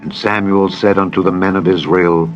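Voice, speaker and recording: A man narrating a dramatized scripture reading in a solemn, measured, formal manner. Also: deep voice, level tone, dull audio. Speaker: Bible narrator. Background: background music.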